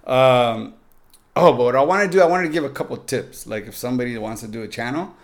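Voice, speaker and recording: A man's voice: mid-range voice, fast. A man with a Mexican accent, a podcast guest giving upbeat, joking tips straight to the listeners. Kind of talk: casual podcast chat.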